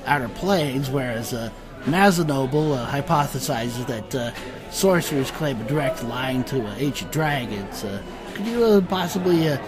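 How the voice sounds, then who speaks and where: A male actor as a bookish fantasy scholar in a comedy audio drama. He stammers and hesitates through learned phrases at a middling pitch. Music plays clearly beneath him. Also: animated, moderate pace, lightly acted. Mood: curious, flustered. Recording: music under the voice.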